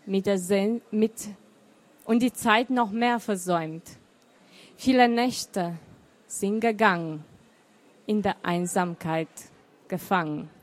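A middle-aged woman whose first language is Romanian, with a Romanian accent, reading her poems in a deliberate, emotional way. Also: medium pitch, darkish tone. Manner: slow, deliberate, emotional recitation. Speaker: poet and author. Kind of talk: poetry reading.

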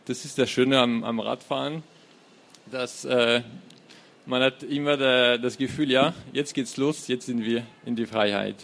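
A man's voice: mid-range voice, fairly even intonation, measured pace, gravelly, fairly bright tone. A young man from France with a French accent, a non-native German speaker giving a hesitant live talk at a book fair. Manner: hesitant, many filler sounds. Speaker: guest author. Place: fair hall stage.

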